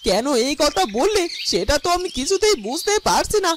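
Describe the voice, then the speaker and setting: An anxious cartoon mother played by a woman pleads in a very high, widely swinging voice. She sounds doting and easily hurt. Birdsong and noise are clearly heard behind her. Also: sing-song, a little fast. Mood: hurt, indignant. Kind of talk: melodramatic cartoon dialogue. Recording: noisy track with chirping birds.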